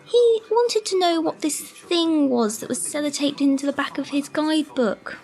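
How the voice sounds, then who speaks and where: Woman acting a high-voiced, knowing female carer. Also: moderate pace, a little mellow, soft background music. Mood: amused, explaining. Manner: sardonic, knowing, mock-scolding. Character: wry, conspiratorial female care-home worker, knowing and affectionate. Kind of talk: amateur radio play acting.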